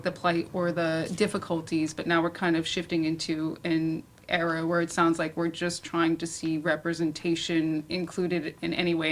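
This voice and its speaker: Low-voiced woman moderating, noisy room. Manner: rambling, conversational, frequent "like". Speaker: panel moderator. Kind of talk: casual panel discussion.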